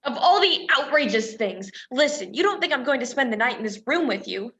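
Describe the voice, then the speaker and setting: A woman's voice: on the high side, expressive, a little fast, fairly bright tone. A woman acting in a radio play as a prim, protesting young woman, a defiant and indignant reluctant captive. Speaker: student stage actor. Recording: dull, lo-fi virtual recording.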